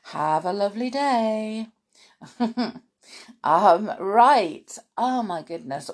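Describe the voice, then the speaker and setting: A woman's croaky, gravelly morning voice, slow and unhurried, talking to children in a chatty way. Her pitch sits low but swings widely as she muses aloud, and she scatters ums and soft laughs between her thoughts. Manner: warm chatty aside-filled talk with many ums and little laughs. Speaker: children's podcast host and storyteller. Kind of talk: chatty spoken intro to children's story podcast.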